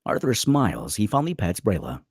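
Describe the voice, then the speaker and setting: Casting: male narrator for screenplay read, lively pitch swings, husky, clipped dramatic phrasing. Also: fairly quick, no echo, studio quality. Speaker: narrator reading stage directions.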